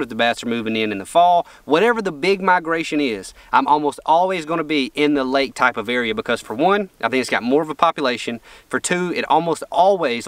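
A man, a pro angler explaining fishing in a relaxed, conversational way, sitting on a boat outdoors. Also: on the high side, dry recording, far from the mic. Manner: relaxed, conversational, drawling fillers like "kinda" and "you know". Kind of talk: casual instructional vlog.

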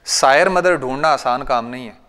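Punjabi-accented farmer lecturing in a hall. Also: male voice, mid-range voice, moderate pace, fairly bright tone. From Pakistan. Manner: conversational, anecdotal, recites couplets and quotes elders. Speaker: goat farmer giving a workshop talk. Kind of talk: informal training talk. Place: large bright training hall with a seated audience. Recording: reverberant hall.

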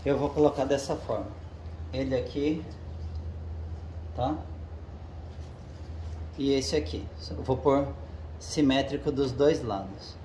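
A man's voice: mid-range voice, slow pace, pauses often, mellow. Host, male, casual hands-on tutorial, talks through each step while working, recorded in an echoey garage with faint background noise. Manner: explains step by step while working with his hands, often checking with "tá?". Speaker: channel host. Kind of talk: casual how-to demonstration. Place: home garage with the door open.

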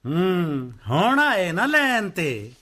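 An actor playing a stubborn old Punjabi farmer in a radio drama, with a theatrical, emphatic delivery. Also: male voice, high voice, very animated, slow pace, full character voice. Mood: sardonic. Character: stubborn old Punjabi farmer grandfather, sardonic, later grief-stricken. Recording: radio play with loud music bed.

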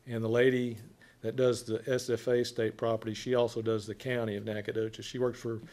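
An older man with a loud voice, a health inspector giving an informal, folksy presentation to a committee. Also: medium pitch, flat delivery, a little fast. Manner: folksy, plain-spoken. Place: wood-panelled council chamber.

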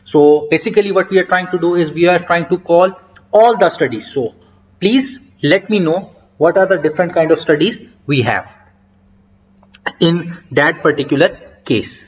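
Man, tutorial instructor, fairly high voice, halting with fillers, dull lo-fi sound. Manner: explanatory, many hesitant fillers. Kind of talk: screen-recorded coding walkthrough. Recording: dull lo-fi mic.

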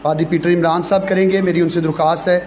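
A man with a Pakistani accent, formally hosting a church programme in measured, courteous phrases. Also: on the high side, little pitch movement, a little mellow. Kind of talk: formal announcing at a church service. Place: church gathering, live audience. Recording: noisy live event recording.

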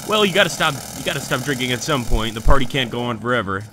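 A man's voice: mid-range voice, animated, fast, background noise. A man hosting a gaming channel, talking casually over gameplay and answering live chat comments.

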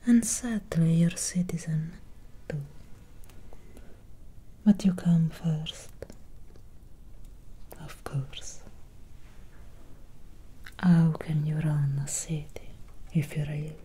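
A young woman voicing a soothing, sly female Victorian vampire doctor in a hushed, intimate ASMR roleplay, close to the microphone. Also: low voice, steady tone, very slow, long pauses, breathy edge, full character voice. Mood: persuasive, reassuring. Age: young adult. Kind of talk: soft-spoken ASMR roleplay.

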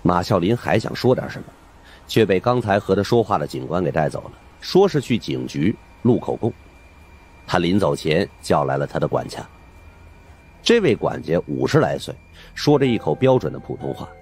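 Deep, very animated male storyteller. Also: moderate pace, husky. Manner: conversational, colloquial storyteller. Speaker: audiobook narrator.

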